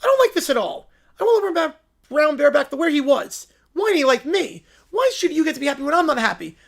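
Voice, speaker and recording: A man's voice: extremely high pitch, very animated, creaky, fairly bright tone, pitched above the performer's own voice, full character voice. A man voicing a childish, bossy sports fan who whines petulantly and wants everyone to be miserable. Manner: whining, petulant, drawn-out complaints.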